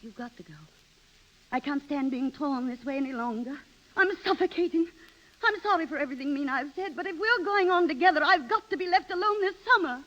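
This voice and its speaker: An actress playing a vain, restless, snobbish wife in a haughty, theatrical 1930s radio drama manner. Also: female voice, high voice, fairly quick, fairly bright tone, highs cut off. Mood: strained, pleading.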